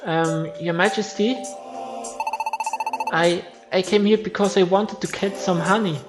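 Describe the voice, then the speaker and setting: A man who is not a native English speaker voicing a timid, earnest, worried child in a game, soft-spoken and hesitant. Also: sits high, fairly even intonation, measured pace. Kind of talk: amateur voiced game storyline. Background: loud game music.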